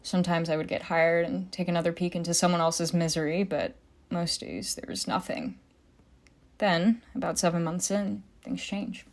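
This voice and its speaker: Actress as jaded female private eye for radio-style drama: deeper register, dry wit, slightly noisy home recording. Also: normal speed, close to an everyday voice. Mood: weary, reflective. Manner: world-weary, wry, dry. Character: weary, pessimistic, sardonic female private detective, hard-boiled narrator. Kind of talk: scripted audio drama narration and dialogue.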